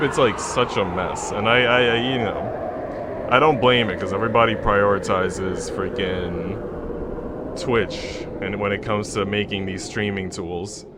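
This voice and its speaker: A male game streamer with a middle-pitched voice talks in a casual, rambling way and reads visual novel text aloud. His delivery is chatty and jokey. A clear noisy hum sits behind his voice. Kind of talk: casual livestream chat mixed with reading visual novel narration aloud.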